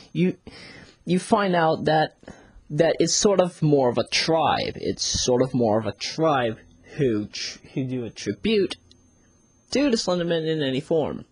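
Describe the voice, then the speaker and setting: A casual reviewer with a husky voice and very animated pitch. The delivery rambles and circles back on its own phrases. The sound is dry, with little room in it. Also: dry recording. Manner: rambling, often repeats phrases to restart. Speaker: series reviewer. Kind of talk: casual spoken audio review.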